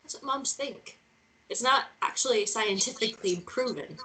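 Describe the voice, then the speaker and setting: A woman's voice: fairly high pitch, normal speed, pushed and bright. A woman acting a driven, frustrated young journalist in a screenplay table read, with an exasperated, intense delivery.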